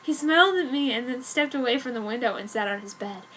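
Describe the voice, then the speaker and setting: A woman with a fairly high voice narrates a romance story in a theatrical, mock-earnest way. The amateur recording has clear noise behind her. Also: fast talker, pushed and bright, rough recording. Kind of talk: dramatic reading of fan fiction.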